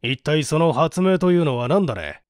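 A man's voice: fairly high pitch, lively intonation, normal speed, no room sound, studio quality, natural voice. A man voicing a curt, condescending but gullible male prison guard in a dramatised audiobook, blunt in manner. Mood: curt interest.

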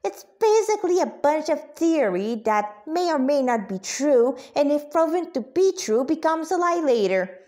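A woman voicing a brash, excitable female cat spirit in a story, slangy and emphatic. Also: sits high, normal speed, few pauses, voice pushed up. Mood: indignant.

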